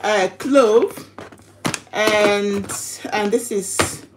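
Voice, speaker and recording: A woman chatting casually to her livestream viewers while unboxing, halting and repeating herself, recorded in a home kitchen. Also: medium pitch. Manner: halting, repetitive, chatty delivery. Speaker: livestream vlogger. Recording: noisy home recording with clicks.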